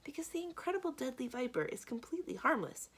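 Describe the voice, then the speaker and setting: Lady doing a smug storybook bad guy, smooth and snooty, showing off, voice pitched up a bit. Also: sing-song, fast, fairly bright tone, full character voice. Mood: smooth, dismissive. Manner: smooth, boastful, condescending. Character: smug, vain male villain posing as snake expert. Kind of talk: storybook read-aloud.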